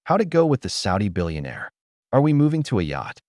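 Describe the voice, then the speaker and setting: A man's voice: on the low side, wildly swooping pitch, fairly quick, a little mellow, no room sound, studio quality. A man reading the lines of a playful young brother who talks in a casual, teasing way.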